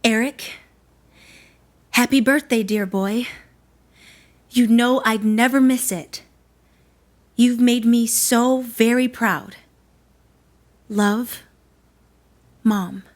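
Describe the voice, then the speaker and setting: An actress playing a tender, supportive wife in a dramatic stage musical. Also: female voice, on the high side, drawn-out words, long pauses. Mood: gentle. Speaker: musical theatre actor.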